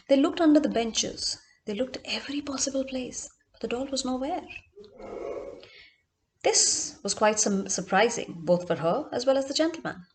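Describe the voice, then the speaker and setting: A woman with a fairly high voice tells a story aloud. She delivers it expressively, in short phrases with dramatic emphasis. Key words are often repeated for effect. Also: darkish tone, a little hiss or hum.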